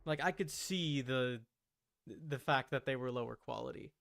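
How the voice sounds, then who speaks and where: Bright-voiced man streaming games. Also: medium pitch, moderate pace, vocal fry. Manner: rambling, emphatic, self-correcting. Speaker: video game livestreamer. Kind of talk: casual gaming stream chat. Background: video game sound.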